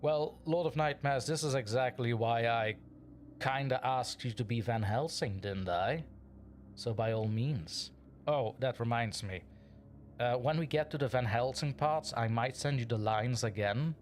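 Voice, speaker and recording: A man's voice: mid-range voice, a little slow, a little hiss or hum. A man hosting a livestream, chatting casually and playfully with his audience and reading their comments aloud, often chuckling. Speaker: livestream host reading chat.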